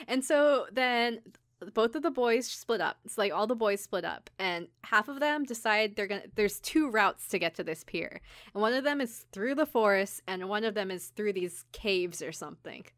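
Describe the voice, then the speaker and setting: Girl podcast host recapping stuff super casually, animated storyteller, giggly, does mock quotes, dry close audio. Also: medium pitch, normal speed, no room sound. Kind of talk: casual chat, recapping an anime episode.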